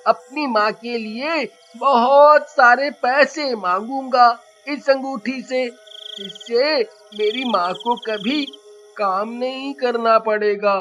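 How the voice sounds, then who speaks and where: A woman voicing an innocent, earnest young schoolboy in a children's story, childlike and deliberate. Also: on the high side, a bit bright, highs cut off, slight background noise. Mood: wondering, earnest.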